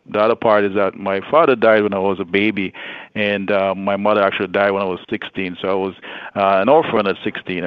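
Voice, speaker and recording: A man in his forties from Jamaica, a guest speaking earnestly with many pauses and fillers. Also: fairly low pitch, smooth, no room sound, dull audio. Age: 40s. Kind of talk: casual interview.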